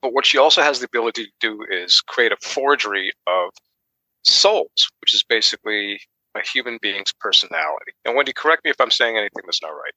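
Very bright, forceful man co-hosting. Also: on the low side, animated, fairly quick, no echo, low-quality recording. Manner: tentative, informal, hedging as he explains. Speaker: podcast co-host. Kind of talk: casual book summary.

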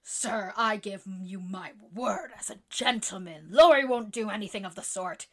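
A woman's voice: on the high side, lively intonation, moderate pace, pushed and bright, heavily acted. A woman playing a boisterous tomboyish young woman who speaks in a hearty, theatrical, mock-formal way. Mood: earnest.

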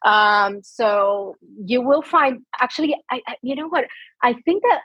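Argentine woman, husky and chatty. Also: on the high side, a little slow, no room sound. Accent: Argentine Spanish. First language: Spanish. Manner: animated, chatty, restarts often. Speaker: podcast guest. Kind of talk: casual interview chat. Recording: dull, lo-fi sound.

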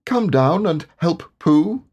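A man's voice: fairly high pitch, lively intonation, slow pace, darkish tone, highs cut off, pitched above the performer's own voice. A man voicing a small, timid and anxious piglet in a storybook reading, with a nervous manner.

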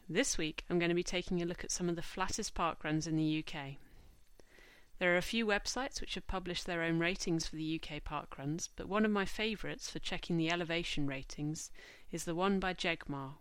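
A woman hosts a scripted podcast, her voice a little stuffed up from a cold. She talks in a measured, informative way and slows right down when she reads out lists. Her voice sits fairly low and is recorded dry and close to the mic. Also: a bit bright, clean tone, no echo. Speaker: podcast host.

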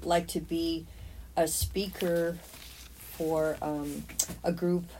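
Low, mellow woman in her sixties. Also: level tone, very slow, slight background noise, poor audio. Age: 60s. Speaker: mosaic artist being interviewed. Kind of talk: casual interview talk.

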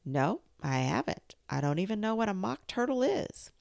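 A woman voicing a polite, curious young girl in a storybook reading, soft-spoken. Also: medium pitch, very animated, normal speed, creaky, dry recording, studio quality, voice pushed up.

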